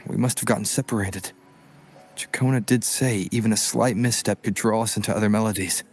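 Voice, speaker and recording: Husky, watchful young adventurer. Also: male voice, fairly low pitch, steady tone, brisk, darkish tone. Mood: puzzled, wary. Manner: terse, questioning. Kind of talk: video game voice acting.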